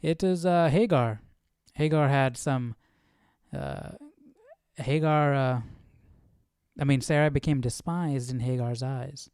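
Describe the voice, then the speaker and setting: Guy thinking out loud on a study stream, smooth clear voice, real dry close sound, asks himself stuff. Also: mid-range voice, dry recording. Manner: musing, questioning, halting as he works out thoughts. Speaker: host of a live Bible study stream. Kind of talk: casual reading commentary, thinking aloud.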